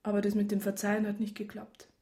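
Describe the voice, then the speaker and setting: A woman's voice: mid-range voice, monotone, quick pace, dark tone, slight background noise, natural voice. Dry, teasing worldly woman played by an actress. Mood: frank, wistful. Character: worldly, disillusioned, flirtatious Bavarian woman, bluntly independent. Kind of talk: naturalistic radio drama acting.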